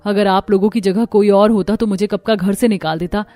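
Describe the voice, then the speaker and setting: A woman's voice: medium pitch, steady tone, brisk, warm tone, dry recording, natural voice. A woman voicing a repentant, grateful young daughter-in-law in a cartoon drama. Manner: earnest, apologetic. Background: music.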